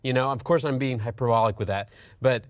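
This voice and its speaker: A man's voice: mid-range voice, a little fast, clear, resonant tone, dry recording. Man in an emphatic casual discussion, dry sound. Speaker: talk show panelist.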